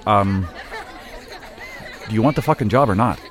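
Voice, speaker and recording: An actor playing an awkward, gruffly kind film director in a naturalistic audio drama, hemming and hesitant but blunt. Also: male voice, on the low side, normal speed, lightly acted. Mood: nervous, building up. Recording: outdoor urban scene with crowd bustle.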